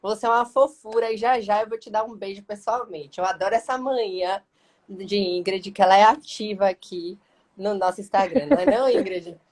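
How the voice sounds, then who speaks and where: A woman's voice: medium pitch, brisk, darkish tone. A woman with a Bahian Brazilian accent hosting a live interview, chatty and affectionate, talking in long, winding sentences. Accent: Bahian Brazilian Portuguese. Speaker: lawyer and mentor hosting a live stream. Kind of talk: casual live-stream interview.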